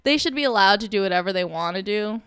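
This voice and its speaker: Young woman radio host, chatty and joking, smooth clear voice, dry studio sound. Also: medium pitch, animated, fast, fairly bright tone, no room sound. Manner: chatty and joking, with filler words. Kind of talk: casual radio chat.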